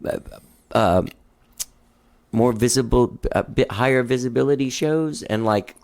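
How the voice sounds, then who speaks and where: A man with a high voice, hosting a loose, rambling interview full of "like" and swearing. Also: measured pace, a little mellow. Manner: rambling, swears freely, many "like" fillers. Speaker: podcast host. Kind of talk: casual interview chat.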